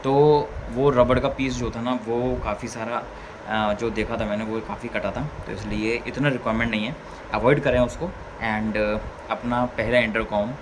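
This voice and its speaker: A man giving a casual hands-on tutorial as a vlogger, informally thinking aloud step by step. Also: lowish voice. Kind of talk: casual hands-on tutorial chat in Hindi mixed with English words. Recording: clearly noisy background ambience.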